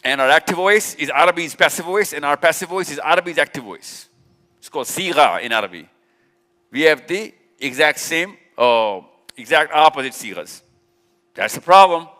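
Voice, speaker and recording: Bright-voiced man in a reverberant hall. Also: on the high side, expressive, moderate pace. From Pakistan. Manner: direct and teasing, repeats "yeah" often. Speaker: speaker. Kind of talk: live talk with audience interaction. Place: large event hall. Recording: long hall reverb at a live event.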